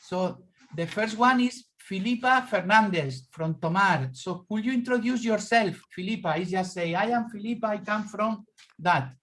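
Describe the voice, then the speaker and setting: A man's voice: sits high, a bit bright. Man moderating an online meeting. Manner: halting, repeats words while calling on participants. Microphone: video call.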